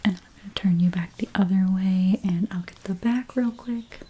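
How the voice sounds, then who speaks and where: A woman whispering breathily in an ASMR role play as a hairstylist, gently and unhurriedly narrating each step. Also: mid-range voice, moderate pace, slight background noise.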